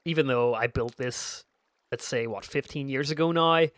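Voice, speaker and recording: A man's voice: mid-range voice, moderate pace, a bit bright, no echo. A man in his thirties with a Northern Irish accent carrying a slight American twang, giving casual, meandering gameplay commentary as a gaming YouTuber. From Northern Ireland. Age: 30s. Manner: meandering and reminiscent, frequent restarts.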